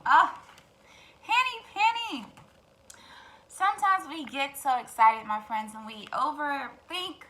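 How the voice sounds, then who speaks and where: A woman narrating a children's story in an animated way, addressing young listeners with wondering questions. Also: sits high, takes it slowly, long pauses, rough recording. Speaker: children's storytime host and reader.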